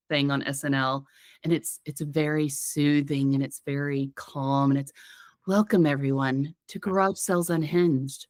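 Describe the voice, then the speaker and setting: A woman co-hosting a casual comedy podcast, with a slightly raspy voice from a sinus infection, rambling and giggling as she tells stories. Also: deep voice, no echo, studio quality. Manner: giggly, rambling, playful storytelling. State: getting over a sinus infection. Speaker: podcast co-host.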